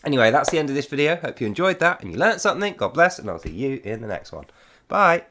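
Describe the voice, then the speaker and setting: A man's voice: mid-range voice, very animated, quick pace, noisy background. A man recording a response video, arguing and lecturing directly at the viewer in a confrontational tone. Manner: argumentative, lecturing, talks straight at the viewer. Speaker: video creator.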